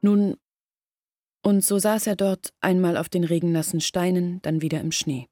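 An actress voicing a young village woman who quietly tells of her family's ruin in a radio play. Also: lowish voice, steady tone, moderate pace, no room sound, clean studio sound, close to an everyday voice. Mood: somber. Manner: quiet, sorrowful storytelling. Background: faint music.

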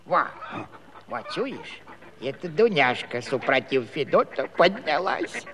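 High, swooping gossip, slow-spoken. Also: male voice, sing-song, fairly bright tone, in a large hall, exaggerated voice. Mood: mock learned, alarmed. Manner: rambling, stumbling over big words. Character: excitable gossipy Cossack villager spreading alarming news. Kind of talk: theatrical comic musical dialogue.

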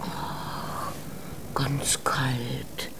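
Hushed, soothing, unhurried mama. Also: female voice, rumbling low, little pitch movement, gravelly, exaggerated voice. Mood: tender. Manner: hushed, soothing, often whispering. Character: soothing, practical wife called Mama, gently protective. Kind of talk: children's radio drama acting.

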